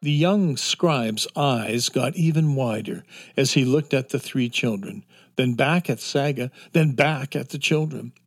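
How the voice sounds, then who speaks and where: A man narrating a children's fantasy audio drama in an expressive storytelling manner. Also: mid-range voice, dry recording, studio quality. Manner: slow, expressive.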